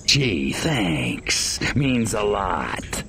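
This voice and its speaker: A man voicing a gruff, menacing alien invader in a video game, mocking and full of wisecracks, with game music behind. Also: fairly low pitch, very animated, drawn-out words, background noise, heavily acted. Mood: dry, sarcastic.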